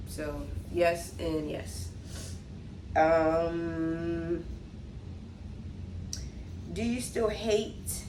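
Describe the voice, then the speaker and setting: Slow-talking young woman with a sniffly cold. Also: fairly low pitch, reverberant, a little hiss or hum, poor audio. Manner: relaxed, unhurried, many likes and ums, reads questions aloud. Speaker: vlogger answering viewer questions. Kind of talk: casual Q&A chat.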